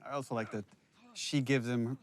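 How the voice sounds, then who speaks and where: A man giving casual behind-the-scenes commentary as a game director, telling it like an animated storyteller. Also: mid-range voice, moderate pace.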